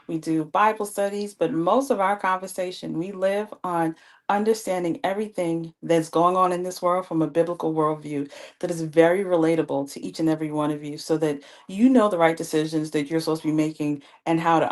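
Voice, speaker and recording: A woman, raised in Queens, joins a casual live-stream podcast. Her voice sits fairly low, and she speaks calmly and agreeably. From New York, Queens. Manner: calm, agreeable, affirming. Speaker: podcast guest.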